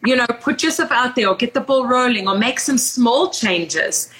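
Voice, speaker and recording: A woman's voice: fairly high pitch, moderate pace, a bit bright, slight background noise, low-quality recording. A woman with a South African accent, hosting a casual podcast chat and telling stories with enthusiasm. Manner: enthusiastic, chatty storytelling. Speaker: podcast host.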